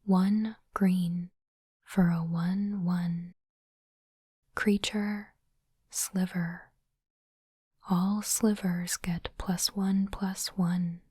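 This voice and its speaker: A woman whispering gently and breathily in an ASMR style, in unhurried short phrases, close to the microphone. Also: lowish voice, level tone, dry recording. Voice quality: soft, breathy unvoiced whisper. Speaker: ASMR host unboxing trading cards. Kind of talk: whispered ASMR, reading cards and commenting. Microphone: close microphone, dry.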